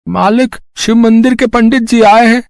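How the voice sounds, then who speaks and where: A man's voice: extremely high pitch, steady tone, normal speed, creaky, clean tone, no echo, voice pushed up. A man voicing an eager, respectful village underling in a moral-story cartoon. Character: eager, deferential male servant or villager.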